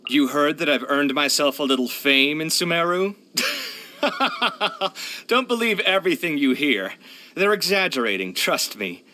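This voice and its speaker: Bright, expressive young architect. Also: male voice, on the high side, a little slow, heavily acted. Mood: bashful, modest. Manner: chatty, candid, expressive, sighing. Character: young male architect, proud, earnest, expressive, hard-luck. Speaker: video game voice actor. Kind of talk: video game character voice lines.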